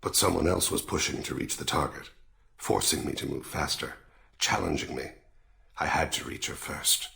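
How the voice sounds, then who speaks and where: Weary alien assassin, extremely deep voice, heavy gravel and fry, courteous, quietly philosophical, game drama. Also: male voice, moderate pace, exaggerated voice. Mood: somber confession. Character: soft-spoken, weary male alien assassin, courteous and reflective. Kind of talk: dramatic video game dialogue.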